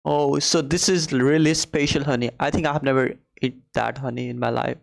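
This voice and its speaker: A man from Nepal with a Nepali accent, hosting a casual reaction video, chatty and full of "like" and excited exclamations. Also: mid-range voice, brisk, gravelly.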